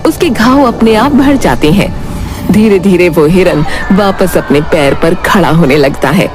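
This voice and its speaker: A woman giving scripted, dramatic storytelling narration for an animated moral story. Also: on the low side, a little slow. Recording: loud music behind the voice. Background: music.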